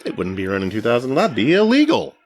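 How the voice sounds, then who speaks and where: A man's voice: mid-range voice, huge pitch swings, quick pace, creaky. A middle-aged man co-hosting a casual chat, with folksy, bemused phrasing. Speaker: co-host. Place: cluttered indoor basement studio. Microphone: podcast mic on boom arm. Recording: loud radio noise behind voice.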